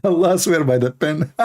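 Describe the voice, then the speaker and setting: A man's voice: high voice, creaky. A man, a native Arabic speaker, gives polemical commentary in a mocking, emphatic way, laughing often and using broken grammar.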